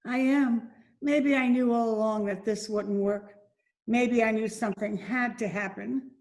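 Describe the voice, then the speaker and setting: A woman's voice: a little high, steady tone, unhurried, a little mellow. Resigned, proud down-and-out mother played by a woman. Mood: resigned, confessing. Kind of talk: old-time radio drama acting.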